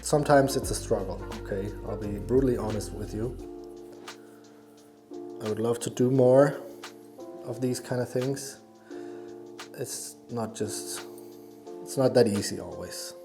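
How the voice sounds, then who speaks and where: Danish guy doing a tutorial, kinda halting n thinking out loud, slow gravelly voice, music playing behind him. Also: medium pitch. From Denmark. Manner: halting, thinking aloud while working. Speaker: fly tying instructor and YouTuber. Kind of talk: casual hands-on tutorial narration.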